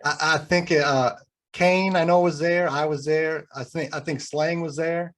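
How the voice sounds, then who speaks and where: A man's voice: on the high side, fairly even intonation, a little slow. An American man hosting a casual podcast chat, reminiscing informally with some swearing. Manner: profane, informal. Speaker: podcast host and rapper.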